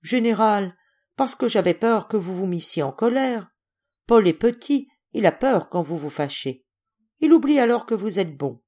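Woman doing a frank young boy, raised pitch. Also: lively intonation, moderate pace, warm tone, lightly acted. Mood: earnest, apologetic. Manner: earnest, respectful explaining. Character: protective, frank young boy, an older brother. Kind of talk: acted storybook reading.

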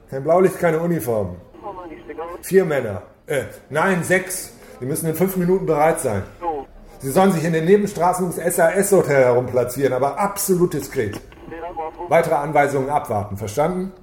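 A man in a German radio crime drama playing a brisk, authoritative police chief, clipped and commanding. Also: on the high side, animated, darkish tone, roomy sound, natural voice.

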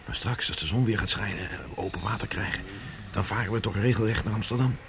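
An actor playing a lovesick, naive young sailor in a historical radio play, musing and a little hesitant. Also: male voice, fairly low pitch, fast talker, natural voice. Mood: hopeful. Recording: noisy radio play recording.

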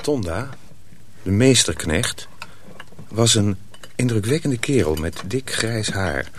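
A man narrating a scripted story dramatically, with a deliberate and suspenseful delivery. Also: on the low side, lively intonation, background noise.

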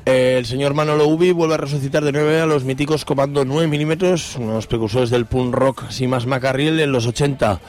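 A man from Spain co-hosts a radio show with music playing behind him. He has a Spanish accent and runs through gig listings in a colloquial, chatty style, at a middling pitch. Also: fairly even intonation, fairly quick, few pauses, darkish tone. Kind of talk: informal radio concert listings. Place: radio studio.

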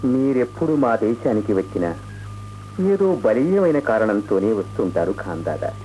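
An actor in a radio play playing a defiant, romantic young crown prince, speaking in a measured, theatrical and pointed way. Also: male voice, lowish voice, sing-song, mellow, poor audio. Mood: cool, wry. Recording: radio drama with music.

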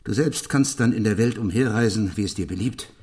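Radio play role: brooding, embittered gentleman of middle years male actor, deep-set voice, intense confessional delivery. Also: natural voice. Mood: defiant, bitter. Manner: deliberate, intense storytelling. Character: bitter, brooding English gentleman confessing his past. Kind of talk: German radio play acting, dramatic monologue.

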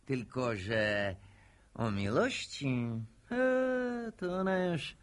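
An actor playing a glib, wheedling village schemer in a radio drama, boastful and needling. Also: male voice, medium pitch, expressive, very slow. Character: cocky, wheedling village schemer, male, talking his way out.

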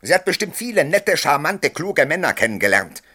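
A man's voice: on the high side, big pitch swings, brisk, sharp tone, husky, exaggerated voice. A man playing a self-assured, condescending husband in a German radio play, speaking in an ironic, articulate way. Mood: amused condescension.

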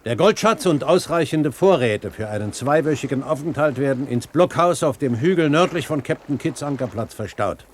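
A man playing an authoritative old pirate captain giving brisk orders in a 1970s German radio drama. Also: medium pitch, lively intonation, slight background noise, natural voice. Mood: commanding. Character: commanding old pirate captain, great-uncle of the narrator.

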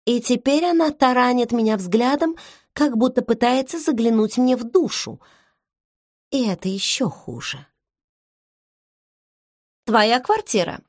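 A woman reading a novel aloud in the first person, measured and intimate, like inner monologue. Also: fairly high pitch, lively intonation, a little mellow, no echo. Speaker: audiobook narrator. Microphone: close studio mic. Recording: dry studio recording.

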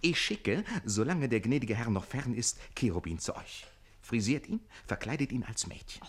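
An actor playing a cheeky, exuberant manservant in a classic German radio play, theatrical, boastful and teasing. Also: male voice, medium pitch, big pitch swings, moderate pace, husky. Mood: gleeful confidence.

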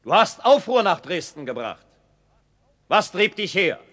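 An actor playing a formal, assured and commanding Saxon prince in a radio drama. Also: male voice, high voice, big pitch swings, a little slow, fairly bright tone, exaggerated voice. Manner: formal, authoritative. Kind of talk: German radio play acting. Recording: reverberant hall.

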